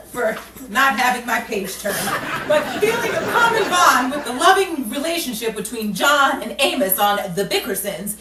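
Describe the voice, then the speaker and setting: A high, very animated voice of a sarcastic 1940s Hollywood dame, acted by a woman, built for radio comedy. She is theatrical and exasperated, tossing out wisecracks. The recording is distant and noisy. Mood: dryly mocking. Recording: roomy, distant mic, noisy live room.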